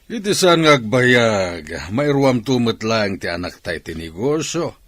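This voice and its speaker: An actor playing a domineering businessman father in a radio drama, with a husky voice and an Ilocano accent. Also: medium pitch, very animated, measured pace, fairly bright tone, no echo. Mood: scheming, assured. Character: domineering businessman father, pleased with arranged marriage.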